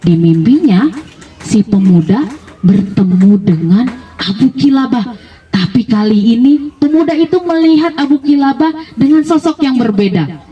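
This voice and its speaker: A woman telling a religious story live, expressive and exclamatory, speaking directly to her listeners. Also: a little high, measured pace. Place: evacuation post, live storytelling. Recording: dull, distant microphone pickup.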